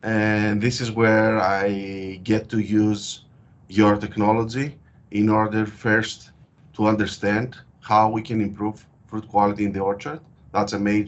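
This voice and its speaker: A man from Greece with a Greek accent, speaking in an interview as a guest researcher and explaining his work with frequent hesitant pauses. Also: lowish voice. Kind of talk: interview over a call. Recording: dull lo-fi call audio.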